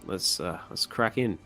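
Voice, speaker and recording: A man with a slightly hoarse voice hosting a comic reading stream, chatting casually and rambling with lots of fillers. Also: sits low, pushed and bright. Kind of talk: casual chat.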